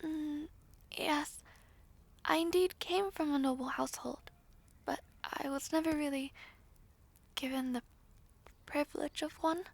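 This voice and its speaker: A woman's voice: sits high, steady tone, measured pace, creaky, long pauses. A woman playing a shy, humble young maid of noble birth in a fantasy audio roleplay, hesitant, polite and old-fashioned in speech. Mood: modest, wistful.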